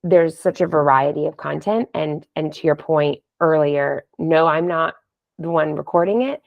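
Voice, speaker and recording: A woman's voice: low-pitched, normal speed, creaky, no room sound. A woman speaking casually and enthusiastically as an interview guest on a podcast. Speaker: podcast guest, wellness app founder. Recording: slightly dull lo-fi audio.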